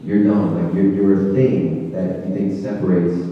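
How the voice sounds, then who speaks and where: Male panel moderator, very low voice, distant and muffled. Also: little pitch movement, unhurried. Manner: hesitant, restarts often. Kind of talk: panel discussion. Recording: echoey hall, far from mic, dull.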